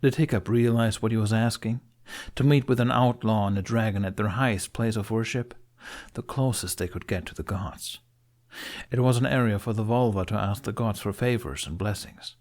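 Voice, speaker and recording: A man's voice: lowish voice, darkish tone. A man reading a fantasy story aloud as dramatic scripted audiobook narration.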